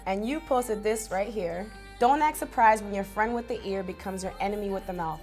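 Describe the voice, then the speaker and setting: A woman's voice: medium pitch, a little fast, a little mellow, soft background music. A woman in her late twenties hosting an interview, chatty and teasing in a casual way. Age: 20s. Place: small studio with branded backdrop.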